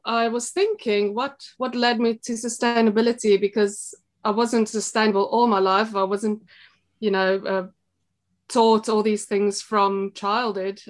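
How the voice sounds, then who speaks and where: Podcast guest: middle-aged South African woman, monotone delivery, reflective and halting. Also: on the high side. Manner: thoughtful, halting with fillers and restarts. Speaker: guest, shop co-owner. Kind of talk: casual interview chat.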